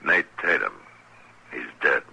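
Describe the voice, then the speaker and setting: An American actor playing a stern, steady middle-aged frontier marshal in an old-time radio Western, speaking tersely and with grave authority. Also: male voice, a bass voice, unhurried, creaky, breathy edge, exaggerated voice. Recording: noisy old radio drama recording.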